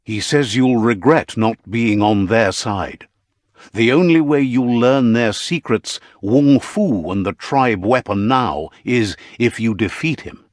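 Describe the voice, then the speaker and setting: A man playing the storyteller of a fantasy video game, narrating in a measured, dramatic, fable-like way with a husky voice. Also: medium pitch, no room sound, studio quality, close to an everyday voice. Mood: ominous storytelling.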